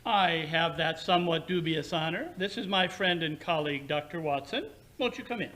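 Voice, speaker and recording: A man playing a brilliant, condescending Victorian English gentleman detective who lectures precisely and theatrically in 1940s radio drama style. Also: a little high, moderate pace, heavy background noise, lightly acted. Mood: brisk, welcoming.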